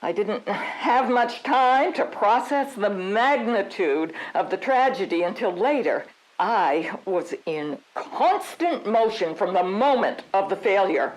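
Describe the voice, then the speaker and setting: A woman's voice: mid-range voice, lively intonation, takes it slowly, rough recording. A woman playing a prim, chatty and evasive suburban committee member giving testimony in an audio drama, with an airy, slightly haughty formal manner. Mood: prim, composed.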